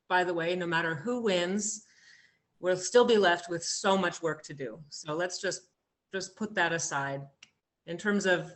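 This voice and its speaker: A woman's voice: fairly low pitch, a bit bright. A woman acting an earnest, exasperated adult daughter reasoning with her father in a staged reading of a play.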